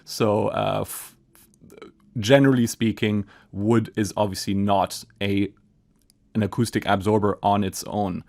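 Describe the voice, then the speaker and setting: A man in his thirties with a German accent talks through listener questions in his home studio, his lowish voice picked up by a studio mic in front of him. He is enthusiastic and chatty, full of "uh" and quick restarts. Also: steady tone, vocal fry, darkish tone. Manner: enthusiastic, gesturing, frequent fillers and restarts. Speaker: acoustics educator answering viewer comments. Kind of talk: casual explanatory talk. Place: home studio room with acoustic treatment, indoors. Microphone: studio microphone on a stand in front.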